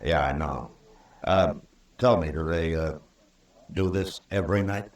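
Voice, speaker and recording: An actor playing a calm, skeptical frontier marshal, measured and plain-spoken, in an old roomy radio western recording. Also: male voice, low voice, distant mic. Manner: measured, patient, conversational.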